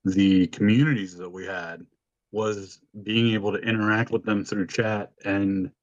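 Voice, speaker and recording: Male, low deep voice, casual podcast storytelling, informal and sweary, slightly muffled lo-fi recording. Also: fairly even intonation, a little slow, dry recording. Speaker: podcast co-host.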